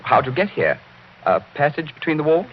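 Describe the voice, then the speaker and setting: An actor playing a brave, blunt young lord in a radio horror drama, with a direct, challenging manner. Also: male voice, fairly high pitch, big pitch swings, fairly quick. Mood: wary. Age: young adult. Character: brave, blunt young English lord standing his ground. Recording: dull, noisy old radio recording.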